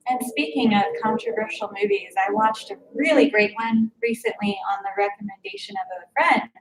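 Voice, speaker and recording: A woman's voice: medium pitch, steady tone, a little fast, reverberant, a little hiss or hum, low-quality recording. A woman co-hosting a radio chat show, chatty, reacting often and swearing casually.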